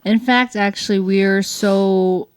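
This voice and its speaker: A woman's voice: medium pitch, fairly even intonation, a little slow, clean tone. A young woman chatting casually as a parent co-host of a family show. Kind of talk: casual chat.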